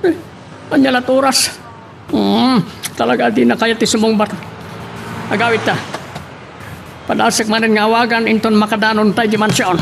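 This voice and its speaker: A man's voice: high-pitched, level tone, normal speed, creaky, long pauses, fairly bright tone, soft background music. An actor playing a tipsy, wealthy older father and boss in a radio drama. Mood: gruff, puzzled. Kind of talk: Ilocano radio drama acting.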